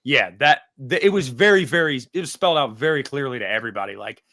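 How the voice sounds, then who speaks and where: A man's voice: mid-range voice, animated, quick pace, pushed and bright. A man on a podcast panel giving blunt, emphatic opinions in casual debate, acting out imagined quotes as he argues.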